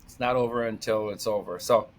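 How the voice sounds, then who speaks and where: A man with a Jamaican accent, a guest telling lively stories in conversation and laughing often. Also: fairly low pitch, fairly quick. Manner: energetic, animated, laughs often. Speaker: podcast guest. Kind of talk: storytelling conversation.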